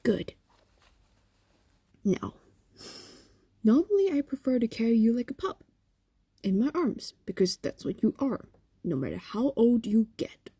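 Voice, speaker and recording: Slow, soft, possessive fox demoness, acted by a woman. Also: on the high side, big pitch swings, slightly rough, dry recording, full character voice. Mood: stern, menacing. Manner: slow, soft, often whispering, coaxing and condescending. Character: possessive, doting ancient female fox demon. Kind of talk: roleplay audio drama, intimate monologue.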